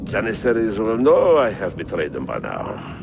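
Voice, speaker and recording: An actor playing a haughty, scheming warlord in a game cutscene, imperious and condescending. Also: male voice, lowish voice, huge pitch swings, normal speed, heavily acted. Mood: calculating. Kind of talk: video game cutscene acting. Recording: clear background noise.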